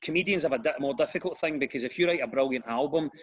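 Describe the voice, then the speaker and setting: A man's voice: on the high side, fast talker, muffled recording. A Glaswegian comedian in his thirties chatting casually, telling self-deprecating stories. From Scotland. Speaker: comedian guest. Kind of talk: casual chat.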